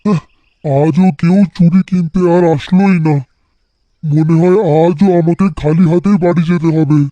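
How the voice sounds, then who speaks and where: A man's voice: a little high, steady tone, unhurried, dark tone, no echo, dull audio, lightly acted. A man playing a poor, weary, worried bangle seller who sighs plaintively in a cartoon folktale. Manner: plaintive, sighing. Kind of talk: animated folktale voice acting.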